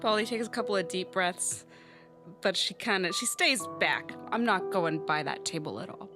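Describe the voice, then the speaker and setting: A woman plays a tabletop game, describing her character's actions in the third person as she improvises. Her voice is mid-pitched, with faint music in the background. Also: moderate pace.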